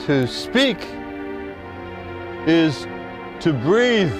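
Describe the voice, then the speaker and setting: A man in his sixties, a street poet, giving a theatrical poetic monologue that swings from hushed musing to shouting. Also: a little high, sing-song, drawn-out words, music in the background. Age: 60s. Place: outdoors on city streets.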